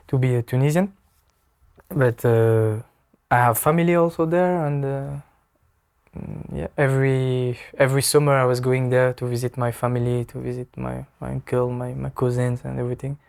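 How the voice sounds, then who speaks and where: A young man in his twenties with a French accent, answering interview questions in halting English, searching for words and laughing easily. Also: mid-range voice, gravelly, a little mellow. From France. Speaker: footballer. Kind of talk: casual interview.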